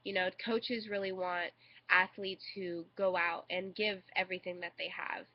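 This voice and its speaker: A woman's voice: on the low side, flat delivery, moderate pace, dry recording. A young woman with a congested cold, giving a hesitant student speech into a webcam with many fillers. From United States. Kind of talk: informal recorded speech. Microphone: webcam microphone. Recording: dull lo-fi webcam audio.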